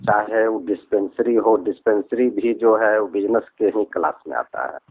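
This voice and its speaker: Male, Bihari accent, low and rough-edged voice; earnest, rambling conversational account over a recorded call. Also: normal speed, darkish tone, no echo, rough recording. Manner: earnest, repeats and circles back to points.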